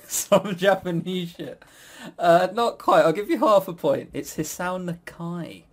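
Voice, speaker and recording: A man's voice: high-pitched, slow. A man hosting a quiz in a casual, jokey, teasing way. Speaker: quiz host.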